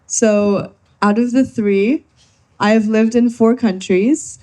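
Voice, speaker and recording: A woman's voice: fairly high pitch, fairly even intonation, takes it slowly, warm tone. A young woman from Kenya presenting to a workshop audience, friendly and playful as she runs a quiz. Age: young adult. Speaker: workshop speaker.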